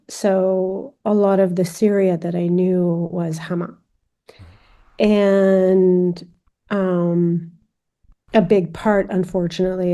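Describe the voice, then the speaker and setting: A woman in her forties, a film director guest, speaking reflectively with measured pauses in an interview. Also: fairly low pitch, dark tone. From France. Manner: measured, reflective, frequent ums. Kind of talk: interview conversation.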